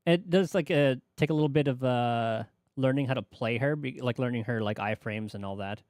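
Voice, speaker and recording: A man's voice: medium pitch, fairly quick, a little mellow, no echo. A man streaming games, chatting casually and thinking aloud in a tentative, rambling way on a close microphone. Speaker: game streamer. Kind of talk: casual livestream chat about game mechanics.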